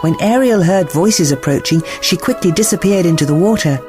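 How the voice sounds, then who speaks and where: A woman narrating a scripted children's bedtime story in an expressive, theatrical storytelling manner. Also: sits low.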